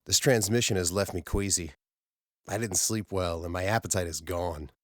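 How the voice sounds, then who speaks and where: A man's voice: low-pitched, moderate pace, a bit bright, no echo, clean studio sound. Man playing a worried, frustrated space-station colleague. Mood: troubled.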